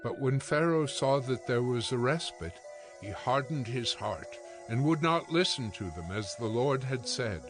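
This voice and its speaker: A man narrating scripture for a dramatized audio Bible in a measured, solemn storytelling manner. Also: medium pitch, animated, over music.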